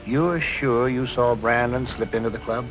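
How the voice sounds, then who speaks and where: An actor playing a probing, evasive police captain in a 1940s film noir style. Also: male voice, medium pitch, normal speed, dull audio, natural voice. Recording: old film soundtrack with music behind.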